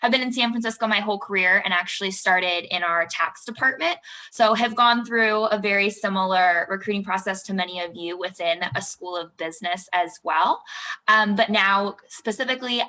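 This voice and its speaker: A woman campus recruiter speaking casually on a video-call panel, friendly and relaxed. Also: mid-range voice, rough recording. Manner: friendly, relaxed, laughs lightly.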